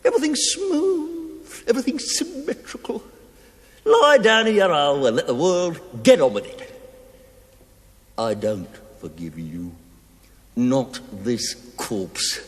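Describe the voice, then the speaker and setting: An actor playing a bitter, taunting, delirious dying army cook in a television play, ranting theatrically and mockingly. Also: male voice, sits high, very animated, slow pace, exaggerated voice. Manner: theatrical, mocking, swinging from mutter to shriek. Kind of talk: television stage-play acting. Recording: echoey hall with long reverb.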